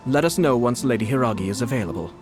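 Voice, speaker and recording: A man's voice: medium pitch, expressive, fast talker, close to an everyday voice. An actor playing a refined young male noble who speaks politely and formally, with faint music behind. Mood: calm. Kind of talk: game voice acting.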